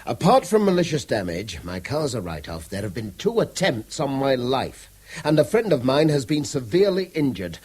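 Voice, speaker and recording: Schoolteacher lead, middle-aged, educated English. Husky, animated, articulate and exasperated radio drama delivery. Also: male voice, medium pitch, moderate pace. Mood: angry, accusing. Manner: clipped, articulate, demanding. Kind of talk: 1970s radio drama acting.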